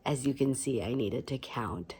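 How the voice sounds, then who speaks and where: A woman in her thirties speaks in a hoarse, low voice, subdued and quiet because she is sick. She talks casually to the camera from her bedroom, filling her sentences with "um" and "like.". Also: normal speed, slight background noise. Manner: soft, subdued, chatty with frequent "like" and "um". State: hoarse from illness. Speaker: vlogger. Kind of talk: casual personal vlog. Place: bedroom at home.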